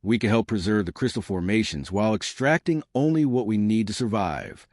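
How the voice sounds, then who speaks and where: A man voicing a conciliatory female winged alien in a sci-fi story, speaking carefully and clearly. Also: fairly low pitch, animated, a little fast, no echo, studio quality. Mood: measured, tentative.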